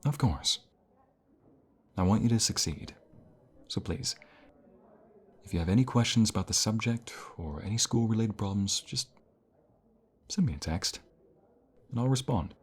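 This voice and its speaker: A man playing a caring, encouraging college professor in an intimate ASMR role play, speaking softly in a gentle, unhurried, reassuring way. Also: fairly low pitch, lively intonation, breathy edge. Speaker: voice actor in an audio role play.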